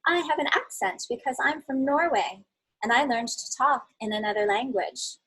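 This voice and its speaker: A woman's voice: high-pitched, animated, normal speed, a bit bright, slightly rough, voice pushed up, full character voice, low-quality recording. A woman reading aloud as a friendly, encouraging waitress in a children's story.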